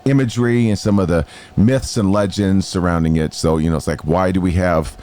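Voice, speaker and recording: A middle-aged man with a cold-thickened deep voice, hosting a casual church podcast in a relaxed, joking way with many fillers. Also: fairly quick. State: congested from a cold, extra deep. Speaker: podcast host.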